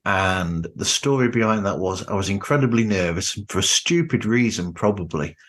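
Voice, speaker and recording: British man with a lowish voice. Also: animated, moderate pace, a bit bright. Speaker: podcast host. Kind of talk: casual chat.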